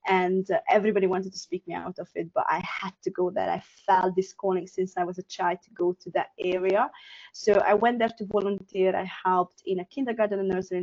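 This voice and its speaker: A woman from Hungary speaks at a middle pitch, telling her story with earnest reflection and frequent fillers and restarts. The sound comes through a muffled, slightly dull video call line. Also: a little mellow. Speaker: guest founder.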